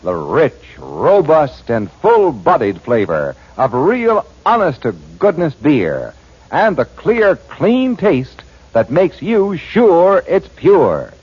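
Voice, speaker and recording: A man announcing on 1940s radio with an emphatic sales-pitch delivery. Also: wildly swooping pitch, takes it slowly, a little mellow, slightly rough. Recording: muffled old 1940s radio broadcast.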